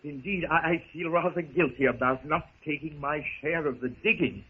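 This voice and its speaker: Sickly polite professor, raspy n hesitant, old timey tinny radio drama. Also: male voice, a little high, moderate pace. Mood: weak, apologetic. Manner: hesitant, formal. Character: ailing, apologetic, mild-mannered professor. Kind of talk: 1930s radio serial acting. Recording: tinny narrow 1930s radio recording.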